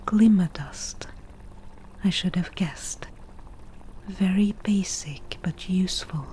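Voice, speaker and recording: Woman acting as forest witch, very slow breathy whisper, soft dark tone, casual, laid-back, ASMR roleplay, faint background noise. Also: lowish voice. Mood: calm, explaining. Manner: unhurried, matter-of-fact, casual.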